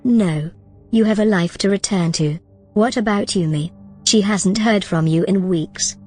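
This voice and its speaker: Calm, precise woman as an AI. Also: mid-range voice, measured pace, faint music behind. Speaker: AI companion character. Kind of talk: audio drama acting.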